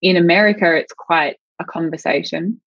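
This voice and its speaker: A woman with a very low speaking voice talks through her thoughts as an interview guest, circling and searching as she goes. Her sentences wind loosely while she works ideas out. The recording is dry, with a dull, muffled lo-fi tone. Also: normal speed, no echo. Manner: searching, thinks aloud in loose winding sentences. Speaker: sexologist guest. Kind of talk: reflective interview conversation.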